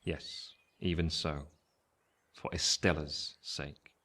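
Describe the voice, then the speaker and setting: Deep-voiced wistful gentleman narrator. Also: fairly even intonation, takes it slowly, heavily acted. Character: older Pip, reflective gentleman narrator looking back on life. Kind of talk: scripted literary narration in a radio play.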